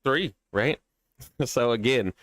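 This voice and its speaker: A man's voice: mid-range voice, very slow, fairly bright tone, no echo. A man giving casual reaction commentary as a streamer, with short impressed interjections and repeated words for emphasis.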